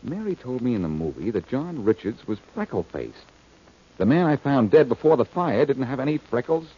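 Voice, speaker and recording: A man's voice: medium pitch, sing-song, moderate pace, breathy edge. An American actor playing a confident, commanding, wisecracking male sleuth in 1940s radio detective style. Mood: musing, urgent. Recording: dull old radio recording.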